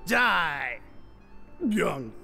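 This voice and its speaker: A tipsy, good-natured buddy in a video game. The speech is slow, slurred and boisterous, with very animated pitch swings. Music sits behind it. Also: male voice, creaky, exaggerated voice. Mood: tipsy cajoling. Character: drunk, jovial, carefree male business pal.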